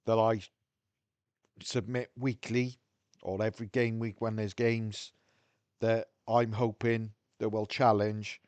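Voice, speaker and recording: A man's voice: fairly low pitch, a little mellow, dry recording. A man with a Welsh accent talking frankly to his viewers, thinking aloud as he goes. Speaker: video host. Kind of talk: casual talk to camera.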